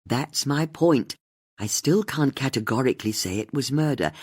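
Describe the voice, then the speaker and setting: Audiobook crime role: weary, rule-bound female detective acted by a narrator, firm, emphatic, slightly husky. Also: medium pitch, animated, normal speed, no room sound, clean studio sound. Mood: exasperated. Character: exasperated, firm, by-the-book policewoman.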